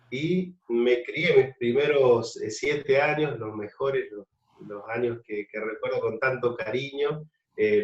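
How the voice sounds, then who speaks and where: A man with an Argentine accent, a pastor reflectively and fondly telling his life story in an interview. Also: mid-range voice. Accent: Argentine Spanish. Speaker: pastor guest. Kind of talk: interview testimony. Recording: distant, roomy, dull sound.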